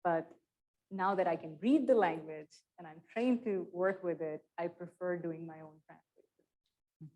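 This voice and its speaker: A woman with a slightly low voice answers questions after her lecture. She speaks in a measured, thoughtful way, pausing and restarting as she works through ideas. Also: darkish tone, low-quality recording. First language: not Persian. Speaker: guest lecturer. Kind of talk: academic Q and A answers. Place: lecture room.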